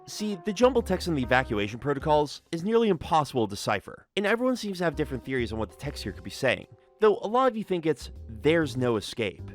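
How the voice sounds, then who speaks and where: A man's voice: fairly high pitch, very animated, fast, few pauses, soft background music. A man narrating a scripted video analysis with casual asides, in an emphatic, conversational and informal way. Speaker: video essay host and narrator.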